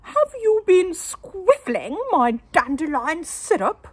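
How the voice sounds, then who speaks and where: Shrill prissy storybook wife, high and loud, read by a woman. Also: very animated, slow, dry recording, full character voice. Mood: indignant. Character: prim fussy middle-aged wife.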